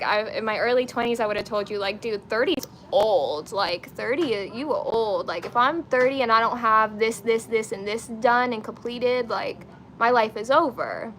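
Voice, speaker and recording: A woman's voice: fairly high pitch, normal speed, a bit bright, slight background noise, low-quality recording. A woman in her late twenties chatting casually and informally to viewers on a get-ready-with-me livestream, using slangy language. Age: twenty-nine. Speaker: beauty and lifestyle live streamer.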